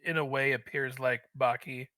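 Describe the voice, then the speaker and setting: A man chatting casually as a podcast guest, joking and telling stories in an animated, playful way with mock voices. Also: medium pitch, normal speed, no room sound.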